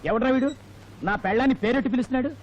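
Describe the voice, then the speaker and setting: Indignant husband, blustery and quick, comic film, slight noise behind. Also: male voice, very high voice. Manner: blustering, challenging questions. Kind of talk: Telugu film comedy acting. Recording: muffled, faintly noisy film audio.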